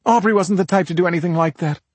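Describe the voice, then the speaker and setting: A man's voice: sits high, quick pace, husky, no echo, pitched above the performer's own voice. A man voicing an emotional, defensive woman who grieves for her sister in an audiobook crime story. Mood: troubled.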